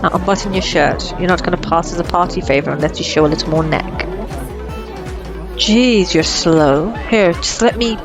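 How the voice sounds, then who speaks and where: A woman's voice: fairly low pitch, moderate pace, over music, close to an everyday voice. A woman playing a cocky, flirtatious female vampire in an ASMR roleplay, sardonic and playful. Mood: teasing, bossy. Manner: sardonic, playful, casually swearing. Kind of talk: ASMR roleplay audio drama.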